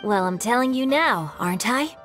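A man's voice: extremely high pitch, animated, moderate pace, fairly bright tone, faint music behind. A voice actor playing a polite, bookish young man in a video game, slightly flustered when pressed. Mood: earnest. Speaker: game character.

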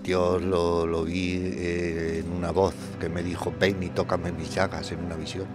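A man's voice: sits low, level tone, a little slow, music in the background. A middle-aged man with a Mexican-tinged Spanish accent, rambling earnestly off topic in an outdoor street interview. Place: outdoor town square, seated on a bench. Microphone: handheld furry mic held close.

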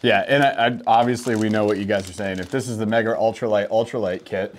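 A man's voice: lowish voice, fairly even intonation, fast. A man in his thirties co-hosting a fishing channel, presenting baits in an upbeat, segment-steering way, on a lapel mic in an indoor studio. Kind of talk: casual gear-review chat.

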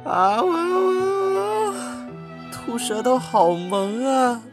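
A man acting in an audio drama as an excitable, stammering man who gushes over cute animals. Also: very high voice, very slow, gravelly, over music, exaggerated voice. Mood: gushing. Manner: stammering, gushing.

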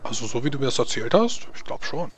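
A man voicing a naive, blunt and gossipy dwarf adventurer in a tabletop roleplay, halting and repeating himself. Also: medium pitch, sing-song, a crowd in the background, lightly acted. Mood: insistent, gossipy. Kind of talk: improvised in-character tabletop roleplay.

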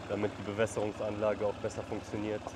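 Man vlogging outdoors on a farm, low voice. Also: monotone, fast. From Lebanon. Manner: calls out to his animals between explanations. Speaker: vlogger and shepherd. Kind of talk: casual vlog narration while herding. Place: outdoors on a rural mountain farm. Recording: faint outdoor noise.

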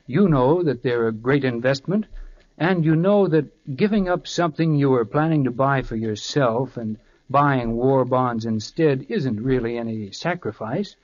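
A man's voice: medium pitch, expressive, unhurried, a little mellow. Earnest man making a radio appeal. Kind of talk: scripted public appeal. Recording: dull 1940s radio recording.

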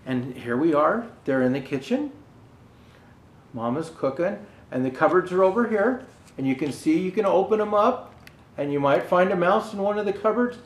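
Casting: older man as children's storyteller, very lively intonation, slightly roomy echo. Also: high voice, normal speed. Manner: gentle explanatory asides to young viewers. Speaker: storyteller dressed as Santa Claus. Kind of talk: reading a children's picture book aloud. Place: indoor room with Christmas decor.

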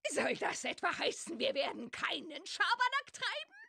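A woman voicing a gleeful, scheming old witch with a shrill, creaky voice and exaggerated delivery in a children's game. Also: very animated, normal speed, pushed and bright, no echo, studio quality, heavily acted. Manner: exaggerated, sing-song, cackling. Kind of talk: German children's audio-play acting in a game.

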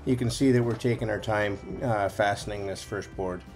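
A man's voice: lowish voice, fairly even intonation, moderate pace, a little mellow, soft background music, rough recording. A man giving a casual, folksy running commentary as a vlog host, explaining as he goes.

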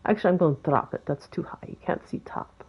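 A woman with a Boston accent talks through a game build in a loose, rambling way, chatting to the objects on screen. Her voice sits fairly low. The recording sounds a little dull and lo-fi. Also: fast, husky. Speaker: game video creator. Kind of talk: casual gameplay tutorial commentary.

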